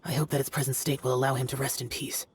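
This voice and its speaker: A man playing a stern, aloof, youthful immortal demon slayer in a video game, with solemn, formal, measured delivery. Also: medium pitch, level tone, slight background noise, close to an everyday voice. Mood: somber. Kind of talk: scripted video game dialogue.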